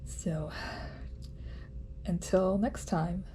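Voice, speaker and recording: A woman giving a casual vlog update in a nasal voice, chatty and halting with frequent ums. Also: fairly low pitch, steady tone, warm tone, slight background noise. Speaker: vlogger.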